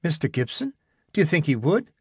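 A man's voice: fairly high pitch, big pitch swings, fairly quick, darkish tone, breathy edge, dry recording, clean studio sound, exaggerated voice. A man voicing an anxious, wistful young woman in an audiobook reading.

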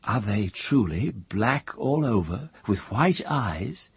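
A man's voice: lowish voice, very animated, slow, husky, dry recording, pitched above the performer's own voice, full character voice. A man voicing a curious, persistent girl in a story, full of questions. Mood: eager curiosity. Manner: inquisitive.

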